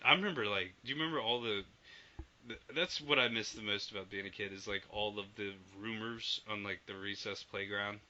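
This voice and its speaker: A man co-hosting a casual video game podcast, animated and swearing freely as he acts out old quotes. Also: lowish voice, a little fast. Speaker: podcast co-host. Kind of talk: casual chat about video games. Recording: dull lo-fi podcast audio.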